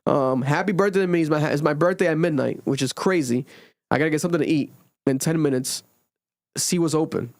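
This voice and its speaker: A young man hosts a podcast, talking loosely and animatedly, and his fairly high voice shoots up whenever he jokes. The recording is dry and close. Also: a little fast, no echo. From Rhode Island, USA. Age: 20s. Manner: animated, swings high when joking. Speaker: podcast host. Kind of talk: casual podcast chat. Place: home recording during a blizzard.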